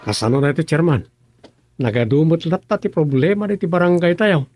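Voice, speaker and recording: A man's voice: a little high, fairly quick, noisy background, natural voice. An actor playing a dreamy, dozy village councilman in a rural comedy radio drama. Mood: earnest.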